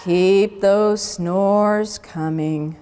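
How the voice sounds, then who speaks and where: A woman voicing a sleepy male sloth schoolteacher in a storybook, with a slow drawl, in a reverberant space. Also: mid-range voice, fairly even intonation, mellow, faint music behind. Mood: drowsy, lazy.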